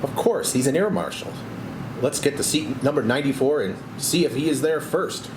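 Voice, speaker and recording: A man plays an earnest comedy hero who sounds a bit muddled. His voice is mid-pitched. He reads at a table in an echoey room with clear background noise. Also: animated, normal speed, natural voice. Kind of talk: comic screenplay table read.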